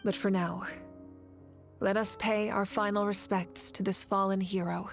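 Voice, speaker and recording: A woman's voice: medium pitch, flat delivery, vocal fry. Solemn, resolute female leader over music. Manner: measured, earnest, formal delivery. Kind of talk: dramatic video game voice acting. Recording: orchestral game music behind.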